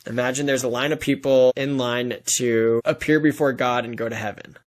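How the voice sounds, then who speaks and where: A man with a medium-pitched voice talks as a podcast guest. He is earnest and exhorting, explaining his points in a conversational way with illustrations. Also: steady tone, moderate pace. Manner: earnest exhortation, talks in analogies and imagined dialogue. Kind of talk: earnest testimony in a podcast interview.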